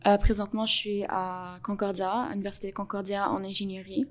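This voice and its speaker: A young woman with a Quebec French accent explains things earnestly, pausing often on "euh" as she gathers her thoughts. Her pitch sits mid-range for a woman and barely rises or falls, which gives her an even, level delivery. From Montreal, Canada. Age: young adult. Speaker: podcast guest. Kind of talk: interview answers.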